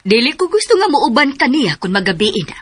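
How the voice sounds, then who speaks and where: A woman's voice: high voice, sing-song, fairly quick, slightly rough, full character voice. A woman playing a worried, protective mother in a radio drama, fretful and scolding, with a Cebuano Filipino accent. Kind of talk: Philippine radio drama acting. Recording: music behind the voice.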